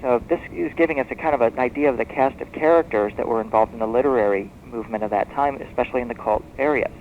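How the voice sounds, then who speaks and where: A man speaking as an expert guest in a radio interview, explaining history as a story in a measured, discursive way. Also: medium pitch. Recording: muffled noisy shortwave radio tape.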